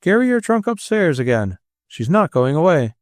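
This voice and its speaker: A man's voice: on the high side, big pitch swings, moderate pace, no echo, studio quality, voice pushed up. A man voicing a brash but kindly young man with a New York accent, talking in a plain, coaxing, easygoing way. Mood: breezy, coaxing. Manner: breezy, plain-spoken, coaxing.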